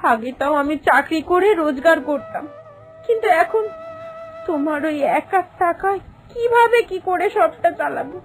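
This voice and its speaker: A woman's voice: very high-pitched, poor audio. A woman voicing a greedy, headstrong young wife in a cartoon fairy tale, in a theatrical, complaining, emphatic manner. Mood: wailing remorse.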